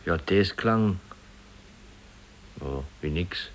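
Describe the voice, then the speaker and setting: A simple small-town gatekeeper from an old radio play, speaking low and slowly. He muses hesitantly in a folksy, unsure way. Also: lively intonation, slight background noise, exaggerated voice. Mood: earnest, musing. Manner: hesitant, folksy, drawn-out musing. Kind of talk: 1950s German radio play acting.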